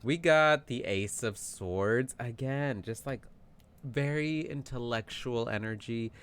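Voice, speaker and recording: A man hosting a casual tarot card reading, talking in an animated, chatty way with plenty of fillers, his voice tired from yelling. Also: medium pitch, takes it slowly, clean tone. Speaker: tarot reader.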